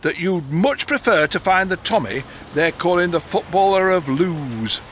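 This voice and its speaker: An actor playing a wily working-class informant in a period audio drama, talking colloquially and conspiratorially. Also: male voice, high-pitched, lively intonation, measured pace, a bit bright, a little hiss or hum. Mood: sly, urging.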